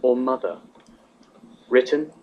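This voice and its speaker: A man's voice: medium pitch, expressive, takes it slowly. A man reading a scripted story as a narrator, in a lo-fi recording. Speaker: fan fiction reader. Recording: dull lo-fi playback.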